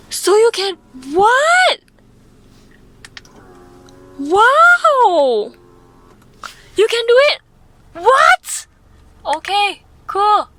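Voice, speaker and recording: A woman's voice: very high-pitched, extremely slow, long pauses, a little hiss or hum. Chatty young woman reviewing a car. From Malaysia. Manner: chatty and excitable, with bursts of delight. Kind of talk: casual car walkaround review. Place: in and around a car.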